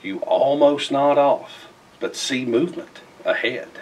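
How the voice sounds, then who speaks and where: A middle-aged man reads a rhyming children's picture book aloud in an expressive, theatrical way with emphatic phrasing. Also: mid-range voice, unhurried, recorded from a distance, slight background noise, low-quality recording. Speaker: storybook reader. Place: indoors in front of a festive backdrop.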